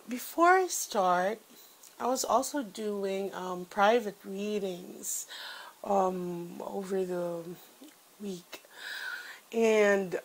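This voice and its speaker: A woman's voice: medium pitch, poor audio. A woman with a congested voice, giving a chatty weekly tarot reading with many fillers. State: congested from a cold. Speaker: tarot reader.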